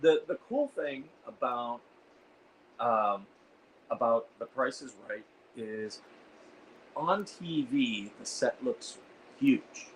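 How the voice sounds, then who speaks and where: A hoarse man hosting a casual livestream, rambling with lots of fillers and reading out the chat. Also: medium pitch, animated, slow pace, long pauses, slight background noise, low-quality recording. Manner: rambling, reads chat aloud, frequent fillers. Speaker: host of a veterans livestream. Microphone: iPad.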